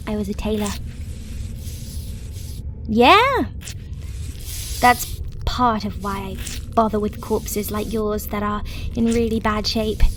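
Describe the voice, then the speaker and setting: A pragmatic female necromancer played by a woman with a fairly high voice, chatting to the listener in an audio roleplay. She is casual and matter-of-fact, like a craftswoman at work. There is some faint noise behind her. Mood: chatty, upbeat.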